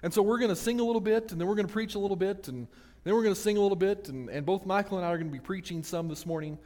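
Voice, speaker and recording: Guy preaching in church, kinda high voice, long echo tail, chatty and joking at himself. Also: very fast, a little mellow. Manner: conversational and self-deprecating, with restarts. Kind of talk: sermon. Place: church sanctuary. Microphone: handheld or stand microphone.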